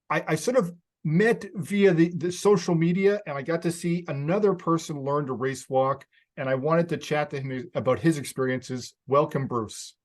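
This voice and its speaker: A man with a Canadian accent hosting a podcast interview, chatty and laughing easily. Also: fairly high pitch. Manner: friendly, chatty, laughs often. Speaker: podcast host. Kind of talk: casual interview chat.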